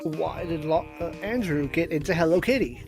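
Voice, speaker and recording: A let's play co-host chatting casually in a halting, quizzing way. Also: mid-range voice, music in the background.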